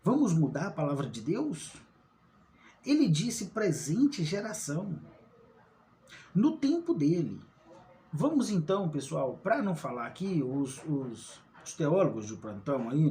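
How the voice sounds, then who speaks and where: Casual online Bible teacher, male, mellow tone, keeps checking in with his audience. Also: a little high, big pitch swings, a little slow. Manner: asks rhetorical questions, checks in with "tá?". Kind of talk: informal Bible study talk.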